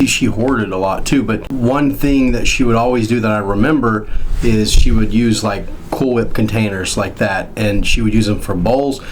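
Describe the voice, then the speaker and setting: A man's voice: mid-range voice, rough recording. An American man talking casually as a podcast host. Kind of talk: casual chat. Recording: clear steady background noise.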